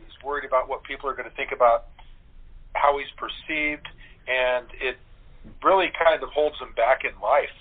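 Tinny phone audio, male guest, medium pitch, halting conversational explanation. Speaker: guest voice actor in radio plays. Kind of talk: casual interview chat. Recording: thin radio-like phone line.

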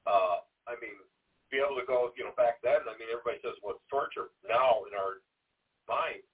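Loud, rambling man on a phone line. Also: medium pitch, fairly quick. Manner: rambling, frequent "you know, I mean" fillers. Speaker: paranormal investigator. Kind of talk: conversational interview. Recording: phone-like band-limited audio.

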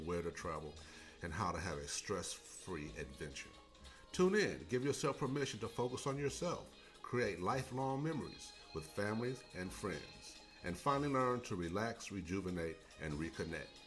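A man's voice: low-pitched, a bit bright. A man reading a polished, scripted intro for a travel show. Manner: polished announcer delivery. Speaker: show announcer. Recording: faint music bed underneath.

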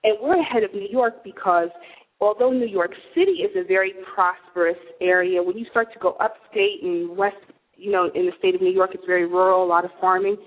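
A woman's voice: medium pitch, a little fast, phone-quality sound. A woman hosting a talk radio show, chatty and earnest, introducing topics and guests. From New Jersey, USA. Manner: chatty, earnest, warm asides.

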